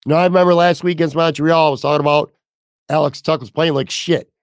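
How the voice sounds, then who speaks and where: Hoarse man. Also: a little high, steady tone, fast, dry recording, studio quality. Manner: candid, blunt, casual with mild swearing. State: losing his voice, hoarse. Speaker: podcast host. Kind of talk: solo sports talk podcast.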